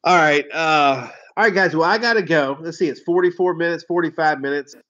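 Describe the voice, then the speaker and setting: Hoarse, laid-back man with a cold. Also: on the high side, brisk, sharp tone. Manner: rambling, laughing storyteller who swears casually. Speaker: car sales livestream host. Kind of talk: casual livestream chat with viewers. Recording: dull lo-fi stream audio.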